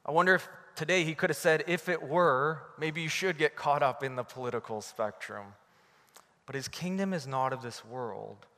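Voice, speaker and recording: A Canadian man preaching a sermon from the pulpit, telling a story in a lively, animated way. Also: fairly high pitch, normal speed, big-room sound. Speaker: pastor.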